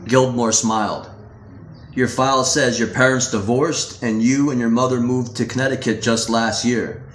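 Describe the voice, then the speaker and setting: A man narrates a novel as its author, reading from the script. His voice sits at an average pitch, and the recording has a clear roomy echo. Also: steady tone, moderate pace, fairly bright tone.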